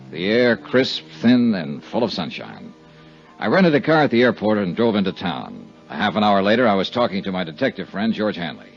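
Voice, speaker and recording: A man with an American accent acting as a dry, clipped, matter-of-fact insurance investigator who narrates a 1950s radio detective drama. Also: fairly low pitch. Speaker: lead actor and narrator. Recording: muffled vintage radio recording with music.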